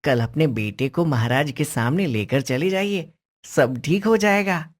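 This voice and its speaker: A woman's voice: deep voice, lively intonation, unhurried. A woman voicing a clever, confident boy who sells advice in a cartoon story. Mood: shrewd, calm.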